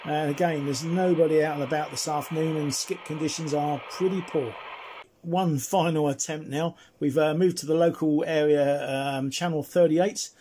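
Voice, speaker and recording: A man chatting casually and at some length as he demonstrates a CB radio, in a friendly, slightly husky voice. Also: a little high, fairly even intonation, moderate pace, warm tone, slight background noise. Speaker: CB radio reviewer and operator. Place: indoors at a home radio desk.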